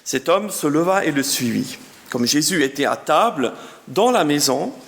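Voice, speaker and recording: A man's voice: fairly high pitch, moderate pace, gravelly. A German-speaking Swiss man in his fifties, a guest pastor preaching and reading scripture in French with a Swiss German accent, genial and chatty. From German-speaking part of canton of Bern, Switzerland. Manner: genial, chatty, self-deprecating, reads scripture with lively emphasis. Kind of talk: sermon with Bible reading.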